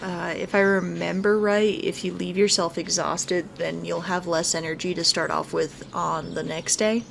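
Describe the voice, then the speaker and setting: A woman's voice: fairly low pitch, little pitch movement, moderate pace, creaky, hardly any pauses, a little hiss or hum. A woman hosting a gaming video with casual, chatty commentary, thinking aloud as she plays. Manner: chatty, thinking aloud, reads game dialogue aloud. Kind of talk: casual let's-play commentary. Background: background music.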